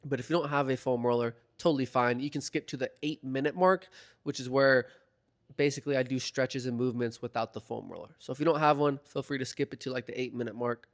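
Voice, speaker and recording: Young guy voiceover, kinda hoarse from being sick, chill and chatty, mid pitch. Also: fast. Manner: relaxed, chatty, filler-heavy. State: slightly hoarse from recovering from illness. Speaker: fitness vlogger. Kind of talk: casual instructional voiceover. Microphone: voiceover mic.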